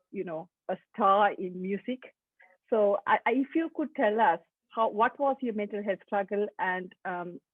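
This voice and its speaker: A woman's voice: medium pitch, dry recording. A woman hosts an online talk show and retells a story before putting a question to her guest. She speaks hesitantly, with many fillers and restarts. Her voice comes over a tinny, phone-like line that sounds dry and close. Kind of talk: interview questions.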